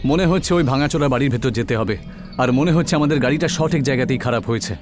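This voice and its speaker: A man's voice: medium pitch, quick pace, background noise, lightly acted. A man playing a determined, serious police inspector in a cartoon horror story, speaking in a commanding, insistent way. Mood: commanding, resolute.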